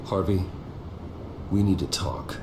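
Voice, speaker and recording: An actor playing a grim, determined wealthy vigilante in a video game, speaking in a terse, guarded way. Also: male voice, sits low, slow, noisy background. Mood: tense, confrontational. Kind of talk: dramatic video game acting. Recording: roomy echo, music underneath. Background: game score music.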